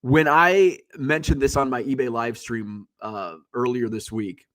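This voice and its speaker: A man's voice: mid-range voice, fairly even intonation, measured pace, fairly bright tone. A congested man hosting a livestream, talking in a casual, rambling, blunt way and swearing freely. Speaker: livestream host. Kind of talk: casual solo chat.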